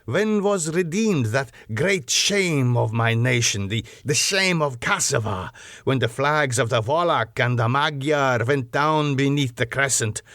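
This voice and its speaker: Proud ancient vampire nobleman: boastful, declamatory gothic storybook villain, measured pace, dry close sound. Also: mid-range voice, animated, a bit bright, no room sound. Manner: grandiose, declamatory, rhetorical questions. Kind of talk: dramatic gothic audiobook character reading.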